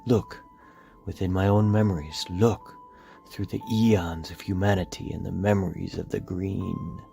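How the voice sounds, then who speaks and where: A man voicing an ancient, solemn nature guardian in a dramatic comic reading, with a gravelly, portentous delivery. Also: sits low, fairly even intonation, a little slow, warm tone. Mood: solemn, earnest. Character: ancient solemn nature guardian recalling his boyhood lessons. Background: music.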